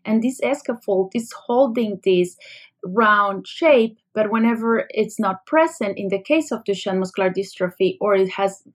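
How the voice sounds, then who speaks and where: A woman's voice: medium pitch, unhurried. A middle-aged woman from Colombia with a Colombian Spanish accent, a doctor explaining a medical condition in a podcast interview, patient and full of analogies. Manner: explanatory, uses analogies, some fillers. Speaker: pediatric neurologist guest.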